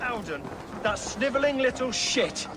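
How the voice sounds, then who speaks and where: A man's voice: extremely high pitch, lively intonation, unhurried, very noisy. A man playing a hot-tempered, blunt Viking king in a video game, with an imperious way of talking. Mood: furious. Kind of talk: video game dramatic dialogue.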